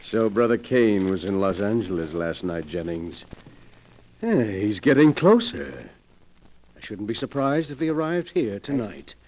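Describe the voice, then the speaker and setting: An actor playing a calm, smug male schemer who coaxes and manipulates, in 1940s radio acting style. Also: on the low side, unhurried, poor audio. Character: scheming, smug male collector of killers' relics.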